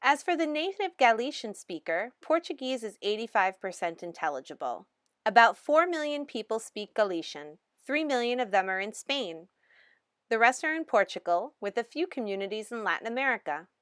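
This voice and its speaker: Lady reading out a language lesson, steady explaining tone, dead dry mic. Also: on the high side, normal speed, no room sound. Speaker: language instructor. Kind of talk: scripted lecture narration.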